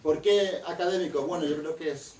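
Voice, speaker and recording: A middle-aged man, a historian moderating and introducing a guest speaker in a measured, discursive way, often adding tag questions. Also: on the high side, a little mellow. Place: university library lecture room. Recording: echoey, distant room recording with faint noise.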